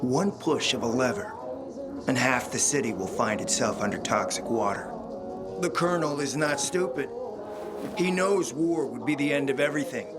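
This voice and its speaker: An actor playing a suave, scheming middle-aged spymaster in a video game, speaking in a theatrical, smooth, insinuating way. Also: male voice, medium pitch, expressive, moderate pace, natural voice. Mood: conspiratorial. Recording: loud music behind the voice.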